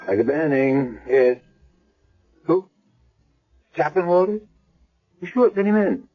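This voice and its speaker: An actor playing a measured, thoughtful man doctor in a 1970s radio drama. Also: a little high, expressive. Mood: calm. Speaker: hospital doctor. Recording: dull lo-fi vintage radio recording.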